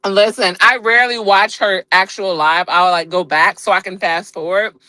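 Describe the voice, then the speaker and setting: A woman's voice: mid-range voice, fairly even intonation, normal speed, bright, forceful tone, dry recording, low-quality recording. A woman guest on a livestream, chatting casually and sassily from a truck stop while on the road for work. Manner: sassy, calls people girl and honey. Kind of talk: casual chat.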